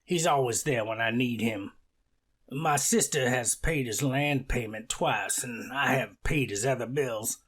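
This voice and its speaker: A man's voice: medium pitch, unhurried, fairly bright tone, husky. A man playing a devoted 79-year-old mother giving an earnest, plain statement in a court reenactment. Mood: earnest, caring. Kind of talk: amateur readers theater court transcript reenactment.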